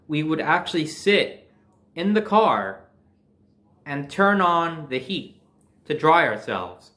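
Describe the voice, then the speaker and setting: A young man telling personal stories in a casual, animated way, swearing freely and acting out bits of dialogue. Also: medium pitch. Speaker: storyteller.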